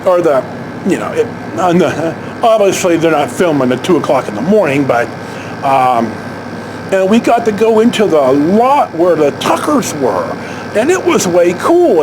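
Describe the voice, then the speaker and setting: High, animated man with background noise. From San Francisco Bay Area, United States. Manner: halting, with frequent self-corrections and asides. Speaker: vlog host telling personal stories. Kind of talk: casual rambling storytelling. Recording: clear steady background noise.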